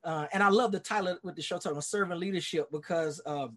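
A Texan man in his forties, a pastor speaking as a guest on a video call. His voice sits fairly high, and he tells his story in an earnest, rambling way with frequent fillers and restarts. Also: fast, a bit bright. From Dallas, Texas. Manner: earnest, rambling, many "you know"s and restarts. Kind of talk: casual interview storytelling.

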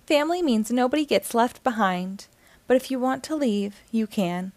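A woman's voice: fairly high pitch, expressive, normal speed, darkish tone, dry recording. A woman reading the lines of a hurt, stubborn but loving young girl in a storybook. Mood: sad, earnest. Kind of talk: storybook character dialogue.

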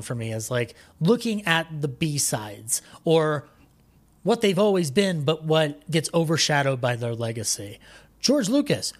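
A man chatting casually as a podcast guest, rambling with enthusiasm and swearing now and then, with some noise behind him. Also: fairly high pitch. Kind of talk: casual interview chat.

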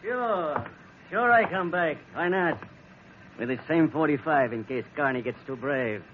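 A man's voice: fairly high pitch, lively intonation, unhurried. An actor playing a ruthless male smuggler villain who speaks broken English in a taunting way. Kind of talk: 1940s radio acting. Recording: muffled old radio broadcast, hiss.

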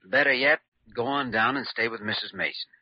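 A man's voice: medium pitch, brisk, slightly rough, no echo, close to an everyday voice. An American man playing a young hospital doctor, wry and reassuring, in an old-time radio drama. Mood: concerned. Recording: muffled vintage radio recording.

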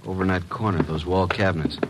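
An actor playing a brisk, streetwise detective's assistant in an old radio drama, matter-of-fact, on a faintly noisy recording. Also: male voice, sits low, music in the background, close to an everyday voice.

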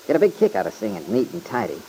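A man's voice: lowish voice, huge pitch swings, quick pace, dark tone. An actor playing an easygoing, modest young country man with an American accent, folksy and a little bashful, in old-time radio drama. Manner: folksy, slightly bashful, hesitant. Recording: noisy vintage radio recording.

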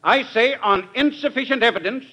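An actor playing a righteous, combative crusading witness, declaiming in a classic radio drama. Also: male voice, very high voice, normal speed, sharp tone, full character voice. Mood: righteous, insistent.

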